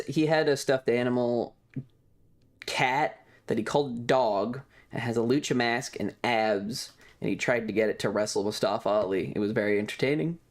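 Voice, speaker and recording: A man streamer talking casually and rambling through his material, halting often with stretched pauses. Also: medium pitch. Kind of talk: casual livestream chat over trip photos and videos.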